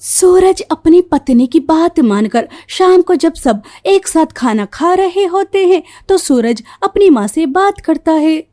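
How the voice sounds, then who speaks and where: A woman storyteller delivers scripted moral-tale narration. Her voice is very high in pitch but mellow in tone. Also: lively intonation, measured pace, hardly any pauses.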